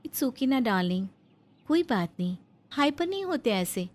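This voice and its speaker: High-pitched, coaxing young wife acted by a woman. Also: very animated, normal speed, a little mellow. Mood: coaxing, placating. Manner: coaxing, wheedling, meekly placating. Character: sweet-talking young daughter-in-law, pampered rich wife. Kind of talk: melodramatic Hindi moral-story cartoon acting.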